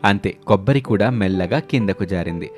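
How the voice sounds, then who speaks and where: A man narrating a scripted animated story as its storyteller, with music under his voice. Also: sits low, fairly quick.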